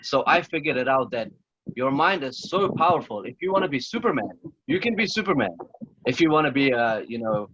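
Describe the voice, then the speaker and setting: A man's voice: medium pitch, very animated, brisk, fairly bright tone, lo-fi sound, slight background noise. A man from Chechnya, a guest in a casual video-call interview, talking in an earnest, motivational way and often repeating himself.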